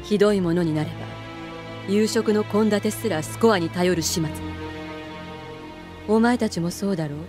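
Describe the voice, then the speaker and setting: An actress as a stern female military instructor with a medium-pitched, commanding voice. She declaims coldly, like a zealot, in an anime audio drama over music. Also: moderate pace, pauses often, lightly acted. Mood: cold, persuasive. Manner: authoritative, cold, declamatory. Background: background music.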